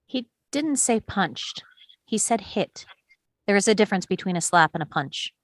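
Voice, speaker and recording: A woman playing a calm, questioning juror in a script table read, with a pointed, plain-spoken manner. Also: medium pitch, normal speed, no echo, close to an everyday voice. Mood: correcting.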